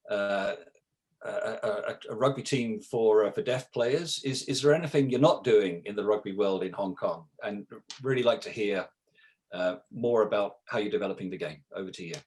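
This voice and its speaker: A man's voice: mid-range voice, a little mellow. A man moderating a video call webinar, introducing a guest with a list, a little hesitant.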